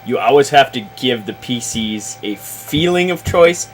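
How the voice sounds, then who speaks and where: Slow, deliberate man giving advice. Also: mid-range voice, animated, a little hiss or hum, poor audio. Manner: deliberate, halting, explanatory, casually sweary. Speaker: show host, experienced game master advising. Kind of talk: casual advisory chat about tabletop games.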